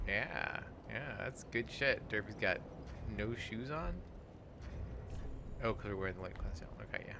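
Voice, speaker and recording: A person with a man's voice hosting a casual gaming let's play, talking in a halting way and swearing freely. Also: lowish voice, lively intonation, gravelly, noisy background. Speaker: host and player of a gaming show.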